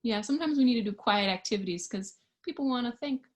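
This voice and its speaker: A woman reading a picture book aloud to young children, expressively, pausing to put questions to her listeners. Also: a little high. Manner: expressive, animated, with hushed asides and questions to listeners. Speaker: storybook reader.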